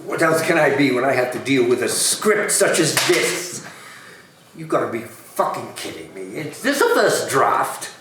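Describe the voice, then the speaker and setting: A man playing a grumpy, scornful old miser uncle in an amateur dramatic read, with gruff, profane exasperation. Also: mid-range voice, big pitch swings, moderate pace, lightly acted. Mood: bitter, exasperated. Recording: very echoey room, distant, faint noise.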